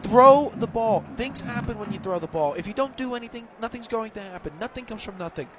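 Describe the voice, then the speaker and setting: A man's voice: sits high, lively intonation, a little fast. An American man giving opinionated, emphatic live radio analysis as a football color commentator, often repeating himself. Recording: loud constant stadium noise, outdoor broadcast.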